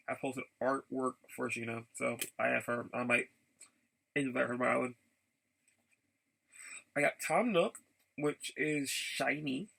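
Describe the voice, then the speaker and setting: A man chatting casually in a rambling vlog, haltingly, often repeating and restarting his phrases. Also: medium pitch, creaky, bright, forceful tone, low-quality recording. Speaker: vlogger.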